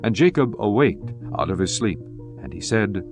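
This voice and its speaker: A man narrating scripture in a measured, reverent manner. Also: lowish voice, lively intonation, gravelly. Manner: measured, reverent, archaic diction. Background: guitar music.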